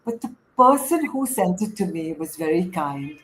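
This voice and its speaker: A woman's voice: lowish voice, sing-song, normal speed, fairly bright tone. A middle-aged woman with an Indian accent hosting a livestream, speaking emphatically and often stacking phrases into lists as she tells personal stories. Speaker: host, life coach. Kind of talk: casual livestream talk, personal storytelling. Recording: clearly noisy room sound.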